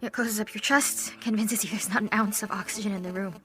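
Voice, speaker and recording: An actress playing a sardonic young supervillain who talks to reporters with cocky sarcasm. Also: female voice, medium pitch, fairly even intonation, fairly quick, music in the background, lightly acted. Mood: confessional. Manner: cocky, sarcastic, then confessional.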